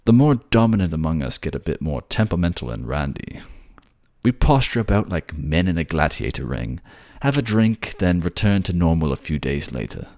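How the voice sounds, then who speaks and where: A courtly merman prince played by a man for a romantic fantasy audio drama. His voice is very deep and gravelly, with lively melodic swings. He is affectionate, polite and modest, with bashful hesitations. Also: normal speed, no echo, full character voice. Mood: bashful, amused.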